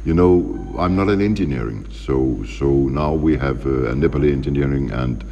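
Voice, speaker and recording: A middle-aged man from Denmark with a Danish accent, a studio guest chatting in halting English, hesitant, with many fillers and restarts as he searches for words. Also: extremely low pitch, warm tone, long reverb tail, muffled recording. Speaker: general manager, studio guest. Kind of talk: casual interview chat in halting English.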